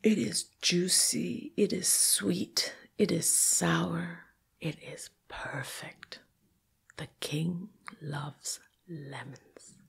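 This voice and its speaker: A woman storyteller narrating a folktale dramatically, with deliberate pauses and repetition that build suspense. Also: on the low side, steady tone, gravelly, slightly rough.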